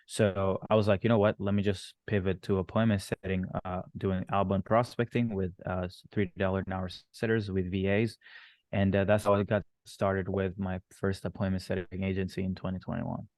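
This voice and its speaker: A man's voice: deep voice, little pitch movement, moderate pace, darkish tone, no room sound. A man from Rwanda who moved to Canada as a teen, telling his own story casually as an interview guest and often rephrasing as he goes. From born in Rwanda, moved to Canada at thirteen.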